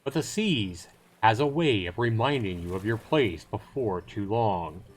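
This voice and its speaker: A man's voice: on the low side, lively intonation, measured pace. A man voicing a salesman-like seafaring trader who tells sea yarns in a theatrical, cajoling way over music. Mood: boastful, suspenseful. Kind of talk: dramatic storytelling.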